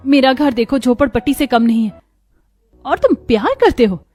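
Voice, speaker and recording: Woman voicing a modest young village woman, high-pitched. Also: expressive, moderate pace, a little mellow, faint music behind. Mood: flustered, indignant. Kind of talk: animated family drama character voice.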